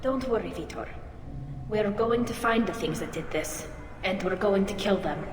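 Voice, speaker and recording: A woman voicing a young female alien in a video game, earnest and measured, anxious yet resolute. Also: mid-range voice, natural voice. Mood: reassuring. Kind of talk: video game dialogue acting. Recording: echoey hall, faint ambient noise.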